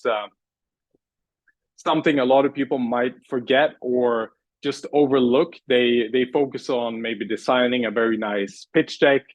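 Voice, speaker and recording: Brief: man, mid-pitch, earnest interview guest, dry booth-like sound. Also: no echo. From Sweden. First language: Swedish. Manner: earnest, thoughtful, many restarts. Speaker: guest, language and pitch coach. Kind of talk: casual interview answers.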